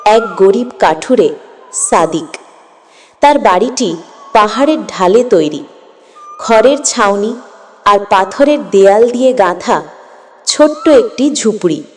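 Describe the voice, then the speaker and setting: A woman narrating a scripted story with measured, expressive phrasing. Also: mid-range voice, slight background noise.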